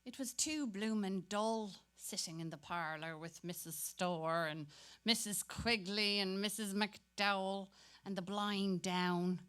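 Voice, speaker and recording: A woman's voice: mid-range voice, takes it slowly, a bit bright, clear, resonant tone. An Irish woman playing a grumbling, daydreaming Dublin schoolboy in a dramatic reading, talking in slangy, colloquial monologue. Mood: bored grumbling.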